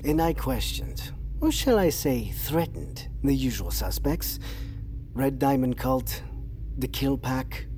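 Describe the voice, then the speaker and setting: An actor playing a theatrical, sinister underworld enforcer in an audio drama, florid, formal and deliberate. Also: mid-range voice, expressive, darkish tone, a little hiss or hum. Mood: silky, deferential. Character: theatrical, sinister henchman-like enforcer relishing threats. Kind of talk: audio fiction drama acting.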